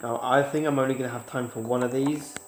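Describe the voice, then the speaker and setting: A man's voice: medium pitch, steady tone, quick pace, slight background noise. Chatty man gaming with a cold. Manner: chatty, talks to viewers, shouts when the game goes wrong. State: has a cold, sniffling. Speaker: gaming YouTuber. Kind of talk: casual gameplay commentary. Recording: roomy with loud game music.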